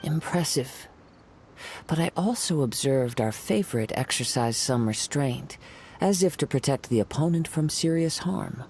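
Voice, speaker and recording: A male actor playing a calm, reserved young wandering samurai who speaks in a measured, formal way. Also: mid-range voice, a little hiss or hum.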